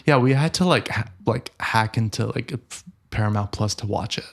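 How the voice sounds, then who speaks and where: A man's voice: lowish voice, moderate pace. A young man from Los Angeles with a Californian accent, chatting casually as a podcast co-host. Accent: Californian American. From Los Angeles, United States. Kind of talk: casual chat.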